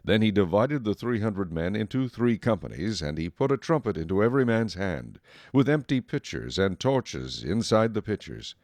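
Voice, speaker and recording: A man narrating scripture from a script in a measured, formal manner. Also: lowish voice, very animated, darkish tone, no echo.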